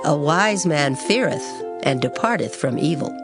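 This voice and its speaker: A woman giving a solemn, measured dramatized reading of scripture. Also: low voice, lively intonation. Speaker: scripture reader. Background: music.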